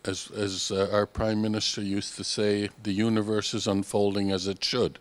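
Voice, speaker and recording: A man's voice: lowish voice, little pitch movement, normal speed. A man giving hesitant off-the-cuff remarks as a conference attendee, with many filler sounds. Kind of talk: impromptu remarks.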